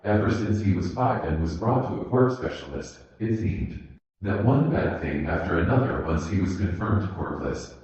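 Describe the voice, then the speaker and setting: Male story narrator, low register, scripted audiobook read, strongly reverberant and slightly dull home recording. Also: darkish tone. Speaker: fan fiction narrator. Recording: muffled, very echoey room recording.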